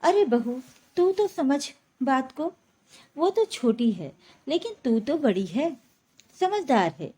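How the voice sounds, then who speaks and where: A woman's voice: sits high, very animated, slow pace, mellow, heavily acted. A woman voicing an older mother-in-law in a spoken story, coaxing and admonishing. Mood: coaxing admonishment. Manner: admonishing, persuasive.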